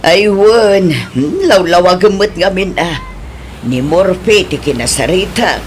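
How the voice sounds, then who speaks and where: A woman's voice: on the low side, lively intonation, takes it slowly, a little hiss or hum. A woman playing a chatty, nosy middle-aged village auntie with an Ilocano accent in a Filipino radio comedy drama. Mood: mildly defensive.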